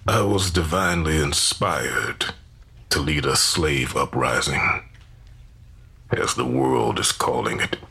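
Man playing a slow, gravelly condemned rebel preacher. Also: a bass voice, very animated, bright, forceful tone, full character voice. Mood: solemn, resolute. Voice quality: gravelly, husky. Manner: measured, grave, formal. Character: enslaved rebel preacher, 31, solemn, convinced of divine calling. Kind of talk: dramatized first-person historical testimony.

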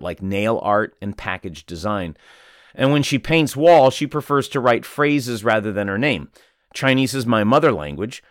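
A man reading a feature article aloud in a measured narration. His pitch moves a lot as he reads. The sound is dry and close, with no room around it. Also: no room sound. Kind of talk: scripted narration.

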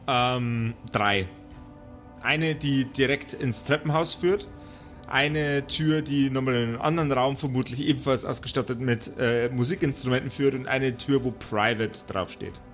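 A man with a medium-pitched voice leads a tabletop role-playing game as its game master. He improvises descriptions with some theatrical drama and slips into banter. Music plays faintly behind him. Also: normal speed, fairly bright tone.

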